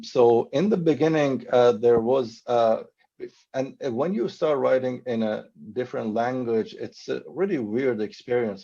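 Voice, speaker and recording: A novelist, a Farsi speaker, talking thoughtfully and haltingly with many fillers on a video call. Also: male voice, on the low side, creaky, dark tone, highs cut off. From Iran. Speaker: novelist guest. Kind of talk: online panel conversation.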